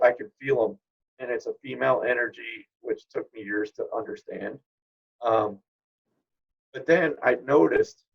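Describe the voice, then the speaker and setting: Gravelly middle-aged man, reflective. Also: mid-range voice, lo-fi sound. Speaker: guest, spirit medium. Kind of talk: informal interview talk.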